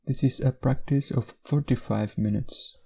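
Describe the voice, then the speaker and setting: Guy doing guided meditation, soft dark tone, gravelly creak, measured w gentle pauses. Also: medium pitch.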